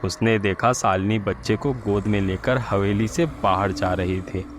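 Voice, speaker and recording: A man's voice: lowish voice, fairly even intonation, a little slow, background noise. A man working as a storyteller, reading a scripted horror story narration.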